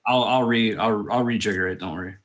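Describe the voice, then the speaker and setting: A man's voice: fairly low pitch, brisk, a bit bright. A man directing a table read, reading stage directions aloud and adding casual asides.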